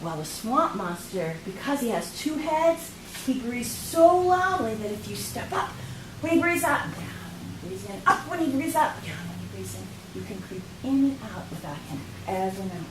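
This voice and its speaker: A woman voicing a calm, knowing talking firefly in a storybook tale, speaking low and measured. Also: natural voice. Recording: echoey room, far from mic, noisy.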